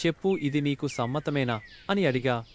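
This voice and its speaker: A man's voice: mid-range voice, lively intonation, moderate pace. A man narrating a scripted folk tale as a storyteller.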